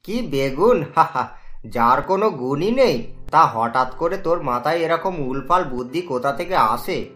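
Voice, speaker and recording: A man's voice: on the high side, expressive, measured pace, fairly bright tone, faint music behind. A man voicing a sly, mocking villager in a cartoon, with a taunting manner. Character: mocking, sly male villager who cheats neighbours.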